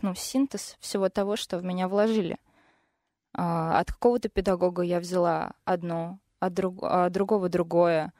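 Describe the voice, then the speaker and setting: A woman's voice: fairly low pitch, darkish tone, no echo. A young woman, a singer, talking casually and a bit hesitantly as a studio guest about her musical path. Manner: hesitant, thoughtful, with fillers. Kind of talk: casual interview talk.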